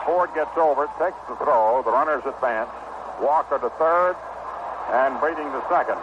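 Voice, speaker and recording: An American man calling baseball play-by-play on 1960s radio in a measured, descriptive way with pauses. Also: mid-range voice. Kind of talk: live 1960 radio sports commentary. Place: ballpark broadcast booth. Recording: noisy muffled vintage 1960 radio broadcast.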